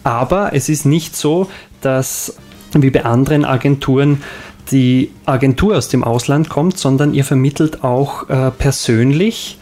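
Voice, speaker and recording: A man hosting a radio interview, asking slow, halting questions with small fillers. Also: mid-range voice, a little mellow, faint music behind. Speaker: radio host.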